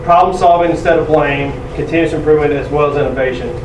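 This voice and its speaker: A man in his forties giving a loud, energetic, self-deprecating presentation to a conference audience. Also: fairly high pitch, quick pace. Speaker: conference speaker. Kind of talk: presentation talk. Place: large conference room. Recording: echoey room from far off, noisy.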